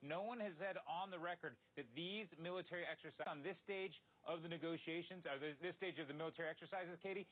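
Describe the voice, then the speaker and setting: A man's voice: a little high, fast talker, hardly any pauses. A man giving a live report as a news correspondent. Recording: tinny phone-like audio.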